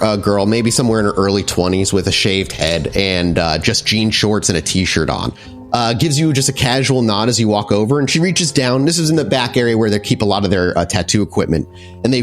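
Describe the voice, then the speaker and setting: A man narrating a tabletop horror game as game master, improvising wordy scene descriptions with casual asides. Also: mid-range voice.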